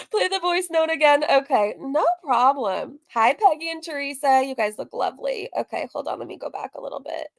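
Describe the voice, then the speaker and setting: A woman's voice: high-pitched, expressive, moderate pace, no echo, clean studio sound. A woman hosting a reaction stream, talking casually to her viewers in a teasing, mocking manner. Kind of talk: casual commentary to live viewers.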